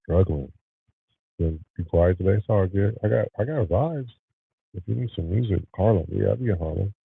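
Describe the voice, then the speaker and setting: A man's voice: low-pitched, moderate pace, vocal fry, breathy edge, no echo, narrow, tinny audio. A male podcast host chatting casually with live viewers from his home studio, sounding tired and nasally congested. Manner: relaxed, talks to live viewers. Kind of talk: casual livestream chat.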